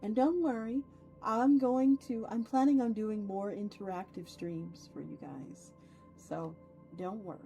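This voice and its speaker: A woman's voice: mid-range voice, unhurried, warm tone, highs cut off, soft background music. A woman hosting a casual livestream, chatting with viewers in an effusive, playful way with theatrical turns of phrase. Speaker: VTuber livestream host.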